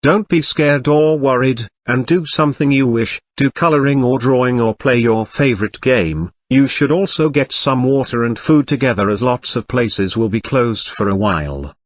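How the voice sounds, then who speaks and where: Man, mid-pitched voice, children's TV announcer, scripted delivery, dry close sound. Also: animated, moderate pace, hardly any pauses, no echo.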